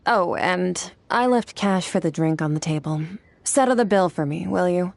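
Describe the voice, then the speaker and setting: A woman playing an aloof, powerful warrior in a video game, cool, deadpan and dismissive. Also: lowish voice, moderate pace, vocal fry, dry recording. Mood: detached. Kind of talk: restrained video game dialogue. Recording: music behind the voice.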